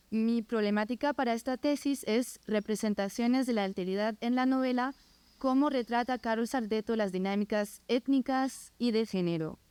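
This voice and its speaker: Smooth-voiced young French woman, dry sound. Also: a little high, darkish tone, no room sound. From France. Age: 20s. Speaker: master's student presenting research. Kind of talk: prepared academic presentation.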